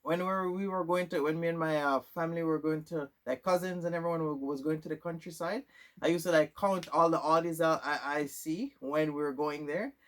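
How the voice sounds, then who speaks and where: A man's voice: on the high side, steady tone, a little fast, fairly bright tone. A young man from Jamaica, answering questions about himself in a loose, rambling vlog monologue full of fillers and restarts. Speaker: vlogger. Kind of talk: casual Q&A monologue.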